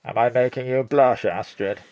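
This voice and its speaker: A man's voice: mid-range voice, fairly even intonation, moderate pace, a little mellow, dry recording, lo-fi sound, lightly acted. An actor playing a suave, flirtatious man with a smarmy, suggestive manner in a comic noir audio drama. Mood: seductive. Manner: smarmy, innuendo-laden.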